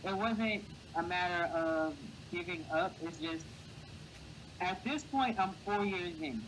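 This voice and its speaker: A man with a light, boyish voice, speaking earnestly as a guest in a casual interview and giving encouraging advice. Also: slow pace. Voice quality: high, boyish voice. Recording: clear background noise.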